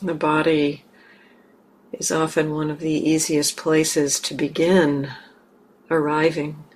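Slow, low-voiced woman guiding meditation. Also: little pitch movement, poor audio. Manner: gentle, halting, long pauses between short phrases. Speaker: meditation teacher. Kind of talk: guided meditation, unscripted. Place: meditation center hall. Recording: clear background noise, room ambience.

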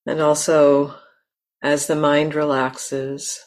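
A woman leading a guided meditation in a gentle, unhurried manner with long pauses. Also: sits low, little pitch movement. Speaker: meditation teacher.

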